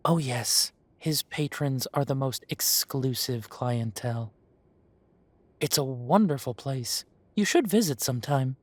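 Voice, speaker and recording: A man playing a genial, long-lived elven café owner who reminisces in an unhurried, chatty way, in a roleplay audio drama. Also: medium pitch, no echo, close to an everyday voice. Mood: genial, reminiscing. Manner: unhurried, musing, conversational with pauses.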